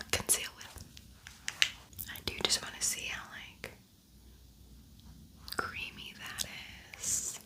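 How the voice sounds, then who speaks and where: A woman hosting an ASMR makeup tutorial, whispering softly in a casual, chatty, unhurried way. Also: rough recording.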